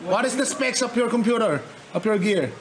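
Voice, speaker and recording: A man's voice: sits high, expressive, brisk, a crowd in the background. A young Filipino-accented man streaming playful, teasing casual chat with strangers. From Philippines. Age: young adult. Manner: teasing, jokey. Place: indoor room with friends behind him. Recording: noisy room, streamed video call audio.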